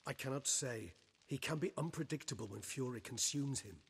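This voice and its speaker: Polite diplomatic gentleman, mid voice, lots of reverb, game cutscene. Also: mid-range voice, lively intonation, brisk, gravelly, a little hiss or hum. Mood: measured concern. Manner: polite, formal. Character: courteous, diplomatic gentleman, mild and articulate. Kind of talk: cinematic video game dialogue. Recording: long hall-like reverb.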